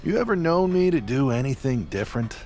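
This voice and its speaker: A man's voice: mid-range voice, sing-song, fairly quick, a little mellow. A man playing a defiant, stubborn pro wrestler in a video game cutscene, talking in a blunt, folksy way. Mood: dry, casual.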